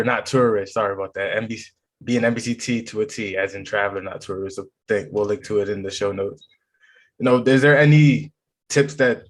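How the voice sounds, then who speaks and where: A man's voice: fairly low pitch, a bit bright. A man hosting an education podcast, asking his guest questions in a friendly, loose, rambling way. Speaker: podcast host. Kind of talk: conversational podcast interview.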